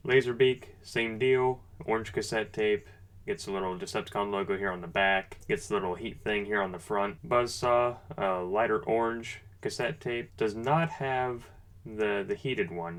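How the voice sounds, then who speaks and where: A man with a somewhat low-pitched voice presents a hobby product review. His delivery is unscripted and conversational. He comes across as an informal enthusiast who talks through each detail casually.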